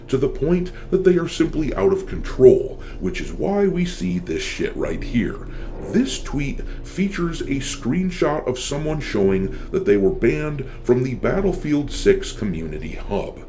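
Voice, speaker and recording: A man's voice: medium pitch, big pitch swings, measured pace, dark tone, slight background noise. A man giving opinionated gaming commentary as a channel host, blunt and sardonic, swearing casually.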